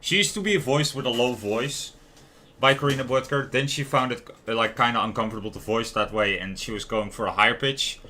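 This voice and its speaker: A man's voice: mid-range voice, brisk, sharp tone, slight background noise. A man giving casual live gameplay commentary as a streamer, rambling and stumbling over words. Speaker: game streamer.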